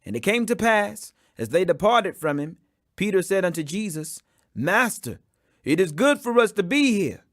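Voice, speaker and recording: Guy reading the bible out loud, high voice that swings all over, pushes hard on the quotes. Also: no room sound, studio quality. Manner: emphatic, rising on quoted speech. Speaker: Bible reader. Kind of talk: scripture reading aloud.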